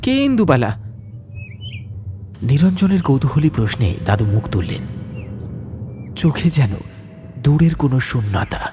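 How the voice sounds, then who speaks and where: A man's voice: medium pitch, big pitch swings, normal speed, long pauses, dark tone, slight background noise. A man narrating a horror audiobook in a dramatic, suspenseful, theatrical manner.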